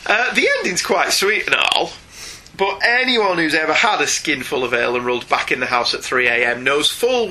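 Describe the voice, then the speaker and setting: A British man with a very bright, forceful voice talks through a review as a podcast co-host. His delivery is conversational and opinionated, with a wry edge. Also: on the high side. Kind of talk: conversational comic book review.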